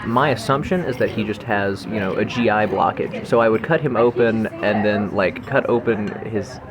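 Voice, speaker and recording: Young male panelist, lowish voice, mock-pompous, busy restaurant around him. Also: normal speed. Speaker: podcast panelist. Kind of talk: comic panel chat. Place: sushi restaurant. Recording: busy restaurant chatter behind.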